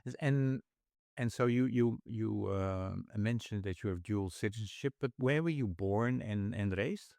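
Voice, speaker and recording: Gravelly deep-voiced middle-aged man. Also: unhurried, a little mellow, no echo, clean studio sound. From Netherlands. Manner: easygoing, with short backchannels. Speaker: podcast host. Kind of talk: casual interview.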